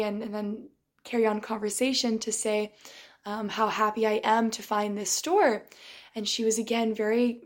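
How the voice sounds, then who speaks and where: A young woman with a flat, level pitch talks in a casual, thoughtful way. Her voice sounds tired and strained from shouting. She stops and restarts often, clearing her throat between thoughts. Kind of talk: casual reflective monologue.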